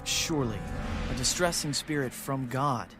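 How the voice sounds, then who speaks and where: A man's voice: mid-range voice, moderate pace, lightly acted. A man playing a deferential royal servant, speaking formally and respectfully in an audio Bible drama. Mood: earnest, deferential. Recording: music behind the voice.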